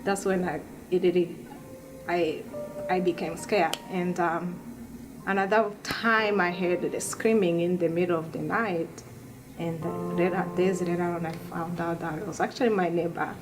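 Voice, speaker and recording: A young woman from Rwanda telling her life story in an interview, soft-spoken and halting, often restarting her sentences. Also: fairly low pitch, steady tone, poor audio. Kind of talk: personal testimony interview. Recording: music bed underneath.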